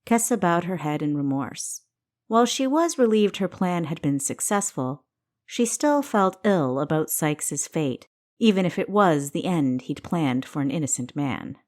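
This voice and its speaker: A woman's voice: low-pitched, lively intonation, measured pace, a little mellow. A woman reading scripted fiction as the narrator of her own audiobook.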